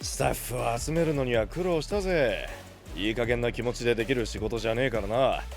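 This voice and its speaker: A man's voice: mid-range voice, a little fast, faint music behind, lightly acted. A man playing a rugged, big-hearted young knight leader, brash and frank, in an anime audio drama. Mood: proud, earnest.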